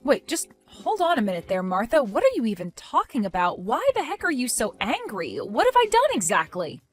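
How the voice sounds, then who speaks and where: A woman voicing a young pregnant wife who speaks quietly and hesitantly, pleading to understand. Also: on the high side, wildly swooping pitch, brisk, soft background music. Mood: bewildered. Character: soft-spoken young pregnant wife, confused and patient.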